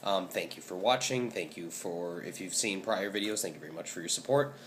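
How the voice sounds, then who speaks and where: Young man, twenties, low and hoarse-voiced, casual vlogger full of filler words. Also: brisk, a bit bright, a little hiss or hum. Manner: rambling, many ums and restarts. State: hoarse, scratchy voice. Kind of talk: casual vlog update.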